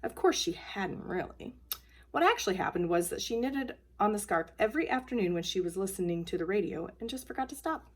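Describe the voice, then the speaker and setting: A woman storyteller reading a children's book aloud in an expressive, emphatic way. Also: mid-range voice, brisk, breathy edge.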